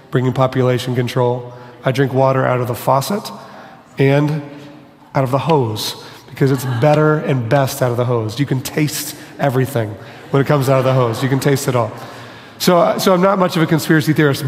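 A pastor preaching a sermon in church, casual and self-deprecating, whose voice is going hoarse. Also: male voice, mid-range voice, darkish tone. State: losing his voice. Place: church sanctuary. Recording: long hall reverb.

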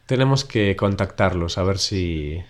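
A man's voice: sits low, a little slow. A man from with a stuffy nose from a cold, hosting a casual podcast for learners over a video call. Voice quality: nasal. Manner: relaxed, chatty, laughs often. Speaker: podcast host. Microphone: recorded over a video call.

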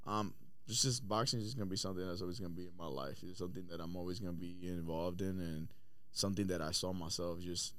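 Smooth-voiced, low-pitched man chatting in slang. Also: fairly even intonation, quick pace, a bit bright, dry recording. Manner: slangy, profane, emphatic, often says "bro". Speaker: podcast guest, boxing coach. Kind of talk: casual chat. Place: studio.

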